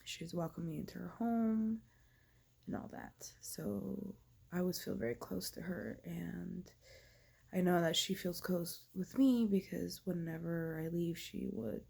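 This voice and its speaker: A woman in her thirties, from Taiwan, who has a cold, talking slowly and haltingly in a casual personal monologue, slipping now and then into a whisper. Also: fairly low pitch, creaky, darkish tone, breathy edge. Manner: halting, many ums and restarts, drifting into whispers. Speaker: vlogger.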